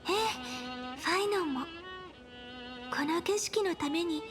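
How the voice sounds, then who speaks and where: A bubbly, teasing game heroine. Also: female voice, very high voice, a little fast. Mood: playful. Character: playful bubbly young woman, gentle and teasing. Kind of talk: Japanese game voice acting. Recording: game audio with music behind.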